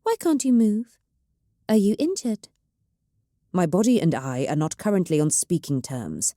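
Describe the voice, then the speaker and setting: A woman's voice: on the low side, lively intonation, a little slow, warm tone, no echo, studio quality. A woman voicing a battered, stubborn woman navigator in chronic pain, speaking through gritted teeth with acid, commanding force.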